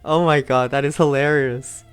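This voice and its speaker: Casting: female let's play streamer, very deep voice, casual amused reactions, faint music behind. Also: steady tone, normal speed, smooth. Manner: amused, exclamatory. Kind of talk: casual gameplay reaction commentary.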